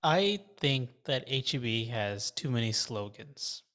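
A man in a casual podcast chat, making dry, deadpan remarks. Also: mid-range voice, measured pace.

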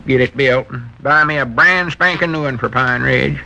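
An actor playing a cranky, forgetful old grandpa in a 1940s radio comedy, with a rural Southern American accent and a rambling, folksy manner. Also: male voice, medium pitch, moderate pace, gravelly, sharp tone, rough recording. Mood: boastful. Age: older.

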